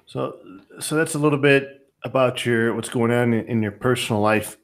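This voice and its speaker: A man's voice: mid-range voice, moderate pace. A man hosting a podcast interview, winding through long setups full of fillers before asking his question. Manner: rambling setups with many fillers. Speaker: podcast host. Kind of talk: conversational interviewing.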